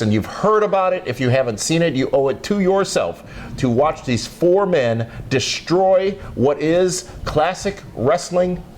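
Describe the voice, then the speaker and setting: A man's voice: darkish tone, slight background noise. A man hosting a wrestling talk show, running through the news and needling his co-host. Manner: teasing, roasts his co-host, pitches the show to viewers.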